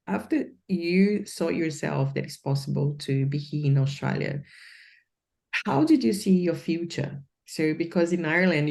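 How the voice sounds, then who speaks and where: Slow, low-voiced woman mentor, meandering. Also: creaky, mellow. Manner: meandering questions with many fillers and restarts. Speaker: career mentor and host. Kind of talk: interview questions.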